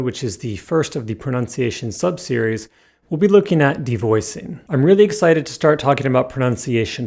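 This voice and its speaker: A man narrating a scripted pronunciation lesson, explaining clearly and demonstrating sounds with care. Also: mid-range voice, darkish tone. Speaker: language teacher.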